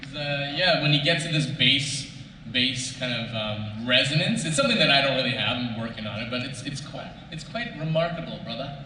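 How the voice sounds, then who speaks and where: Bright, forceful man in a very echoey hall. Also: mid-range voice, expressive, brisk. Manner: loose, slangy, joking. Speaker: voice actor panelist. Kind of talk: casual panel chat. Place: large convention panel room. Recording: very echoey hall, distant mic, faint noise.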